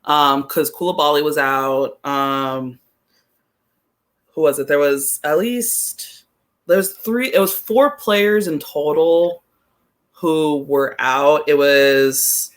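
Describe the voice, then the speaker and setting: A man with a sharp, bright voice pitched a little high talks soccer as a podcast co-host. He speaks casually and rambles, stopping and correcting himself as he goes. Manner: rambling, halting, self-correcting.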